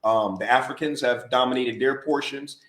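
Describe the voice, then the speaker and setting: A man's voice: mid-range voice, normal speed, a bit bright. American guy co-hosting a podcast, emphatic, repeats stuff, roomy echo. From United States. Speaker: podcast co-host and DJ. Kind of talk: casual podcast chat. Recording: roomy echoey podcast audio.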